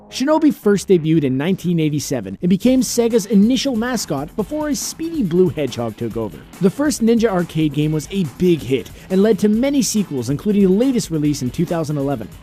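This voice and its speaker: Guy narrating a top ten list, soft mellow voice that moves up and down a lot, music behind. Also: fairly high pitch. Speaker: narrator of a video game and film countdown. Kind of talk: scripted countdown narration. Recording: music bed under the voice. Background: background music.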